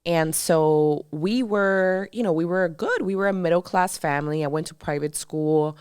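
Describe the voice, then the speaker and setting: A woman's voice: fairly low pitch, moderate pace, darkish tone, clean tone, dry recording, studio quality. A woman in her thirties from Colombia, co-hosting a podcast in chatty, emphatic talk with many fillers. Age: 30s. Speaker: podcast co-host. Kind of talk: casual podcast chat.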